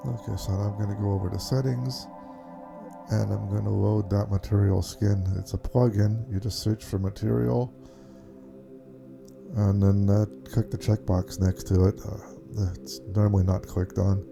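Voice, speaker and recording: A man giving a casual, unscripted software walkthrough, explaining things step by step in an informal way. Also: on the low side, fairly even intonation, normal speed, gravelly, music in the background. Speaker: tutorial presenter.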